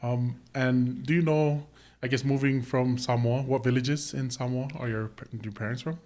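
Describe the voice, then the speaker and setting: A male podcast host with an ordinary mid-range voice. He asks his questions in a rambling, halting way, full of fillers, and keeps the chat casual and easygoing. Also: mid-range voice, steady tone, warm tone.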